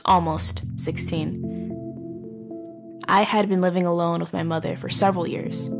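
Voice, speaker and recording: Woman playing a low-voiced, wounded teenage runaway girl. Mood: subdued, wry. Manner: halting, conversational, with pauses and wry asides. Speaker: narrator of audio horror story. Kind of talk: first-person dramatic monologue, confessional storytelling.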